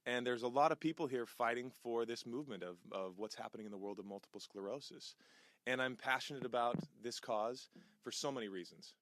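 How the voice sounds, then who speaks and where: A man's voice: medium pitch, a little fast, a bit bright, no echo. A man speaking casually and earnestly in an interview, with an enthusiastic way of talking. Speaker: singer and charity event participant.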